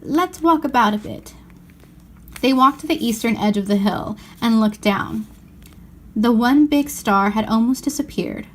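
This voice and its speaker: A woman with a slightly high voice narrates a children's novel. She reads expressively, as a storybook reader.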